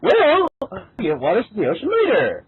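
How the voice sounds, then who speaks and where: Animated, giggly reader on a tinny line. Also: medium pitch, brisk, breathy edge. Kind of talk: casual group reading of a fan fiction, in and out of character. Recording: narrow phone-like line with background hiss.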